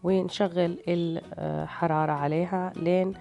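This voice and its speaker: A woman's voice: fairly low pitch, flat delivery, unhurried, creaky, mellow. A woman with a tired voice, narrating recipes as a cooking host in a casual, hesitant way. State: tired, strained voice. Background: background music.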